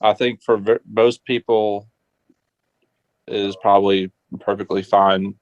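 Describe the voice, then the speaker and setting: A man chatting on a radio panel in a halting, unsure way, on muffled audio. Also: little pitch movement, dry recording. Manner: hesitant, halting. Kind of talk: casual discussion. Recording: muffled, dry low-fidelity call audio.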